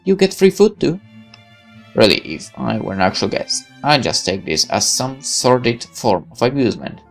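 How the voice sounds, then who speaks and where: A man's voice: medium pitch, big pitch swings, moderate pace, creaky, faint music behind. Man wryly reading visual novel narration. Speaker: gaming video narrator.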